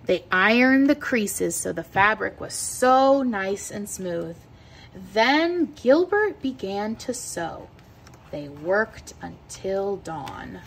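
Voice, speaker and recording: A woman reading a children's picture book aloud with expressive, deliberate storytelling delivery. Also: medium pitch, creaky, breathy edge, rough recording. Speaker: read-aloud teacher.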